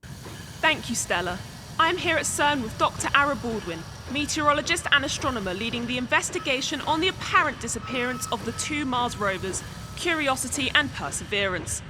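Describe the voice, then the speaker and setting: A woman's voice: high voice, lively intonation, fairly quick, noisy background. A young woman playing an earnest field reporter, asking formal questions in a scripted news drama. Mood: inquiring. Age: young adult. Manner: inquiring, formal.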